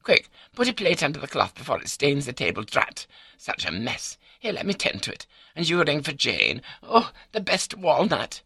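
Elderly Scottish wife: high, lilting, prim and scatterbrained, audiobook character. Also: male voice, huge pitch swings, normal speed, gravelly, pushed and bright, husky, dry recording, studio quality, heavily acted. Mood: flustered, fussing. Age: older. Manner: prattling, primly matter-of-fact.

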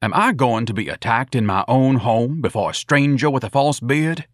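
A man's voice: mid-range voice, lively intonation, normal speed, no echo, clean studio sound, pitched above the performer's own voice, lightly acted. A man voicing a pompous, theatrically disdainful grown son in an audiobook, with over-formal, indignant diction. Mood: haughty indignation.

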